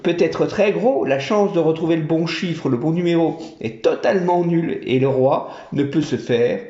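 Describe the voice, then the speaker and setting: A man's voice: fairly high pitch, normal speed, dark tone, natural voice. A man voicing a fawning, chattering male monkey general who collects taxes. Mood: eager. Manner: obsequious, chattering. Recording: roomy, dull home recording.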